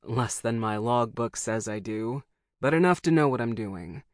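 Joking American flyboy, slow drawl, somewhat low, narrator doing the voice. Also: animated, no room sound, studio quality, close to an everyday voice. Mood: sheepish. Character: wisecracking American male pilot, easygoing. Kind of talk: audiobook character voice.

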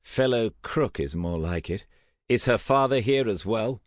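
A man playing a proud, easily affronted young gentleman in an audiobook, with a clipped, formal manner. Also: on the low side, animated, measured pace, no echo, studio quality, voice pushed up. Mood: icy contempt. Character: proud, indignant aristocratic young gentleman, courteous to his mother. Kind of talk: audiobook character acting.

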